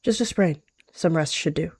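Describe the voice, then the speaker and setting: A woman's voice: deep voice, normal speed, darkish tone, husky, no echo. A woman voicing a practical, friendly female healer cat in a roleplay, matter-of-fact and welcoming. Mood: brisk.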